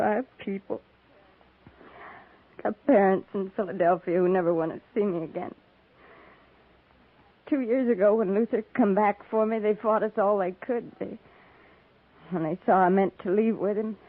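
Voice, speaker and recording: A woman's voice: mid-range voice, measured pace, pauses often, no echo. A grieving young pioneer widow, bitter and worn down but stubborn. She sounds like a 1950s Western radio drama, heard through a thin, narrow old broadcast band.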